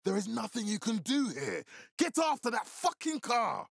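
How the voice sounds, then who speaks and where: A commanding militia boss for a video game, with a gravelly edge and a pitch that swings widely. He swears hard and gives blunt, urgent orders. The sound is dry and close. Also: big pitch swings, moderate pace, dry recording, heavily acted. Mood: furious, shouting orders. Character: commanding, hard-swearing male militia leader in a zombie apocalypse. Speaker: voice actor. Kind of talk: video game dramatic acting.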